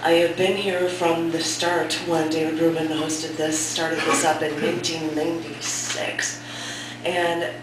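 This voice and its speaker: A woman hosting a cafe open mic and reading a poem, her voice scratchy from a sore throat, chatty and self-deprecating. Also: low voice. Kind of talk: casual hosting, then poetry reading. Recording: echoey room from far off.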